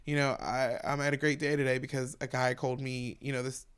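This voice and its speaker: A woman with a deep voice, a stand-up comedian talking frankly as a podcast guest in casual conversation. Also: flat delivery, clear, resonant tone. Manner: frank, talks fast in long run-on explanations.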